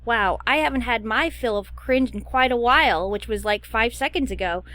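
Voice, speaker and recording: A woman's voice: a little high, normal speed, fairly bright tone. A young woman giving a casual, rambling voice-over as an animator, with a goofy, self-deprecating manner. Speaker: animator vlogger. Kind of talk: casual chatty voice-over about own art process.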